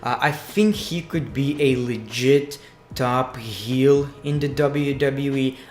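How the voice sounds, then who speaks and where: A man's voice: medium pitch, slow, a little mellow, slight background noise. Lithuanian man giving blunt wrestling takes. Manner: blunt, opinionated, with a dry crude humor. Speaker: wrestling news vlogger. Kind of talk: casual commentary. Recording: echoey room with music underneath.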